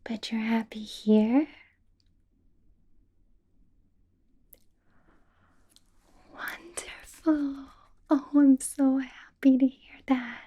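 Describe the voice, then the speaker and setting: Woman playing a slow, whispering vampire boss. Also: high-pitched, steady tone, full character voice. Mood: soothing, pleased. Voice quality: breathy, soft whisper. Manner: slow, deliberate, coaxing, with lingering pauses. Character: possessive, seductive female vampire boss, politely menacing. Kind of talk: ASMR roleplay, personal attention.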